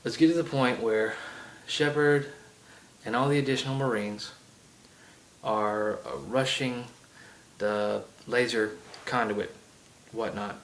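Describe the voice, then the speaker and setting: A man's voice: medium pitch, steady tone, takes it slowly, a bit bright, slight background noise, rough recording. A man with a heavy Southern accent rambling through a casual game rant, full of ums and side tangents. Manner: chatty, many ums and asides. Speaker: gaming vlogger. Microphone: iPod camera.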